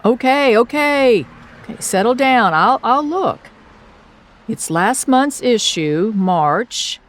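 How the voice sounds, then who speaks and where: A woman's voice: on the high side, expressive, slow. A woman playing an earnest, kind young boy in a radio play, questioning, exclaiming and coaxing.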